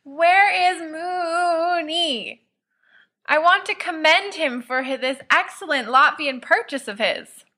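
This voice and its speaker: An exuberant, drunk teenage boy at a party, acted out by a woman reading a story. The voice is high and bright, with loud, theatrical exclamations. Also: takes it slowly, full character voice. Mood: drunk, effusive. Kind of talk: fan fiction audiobook character.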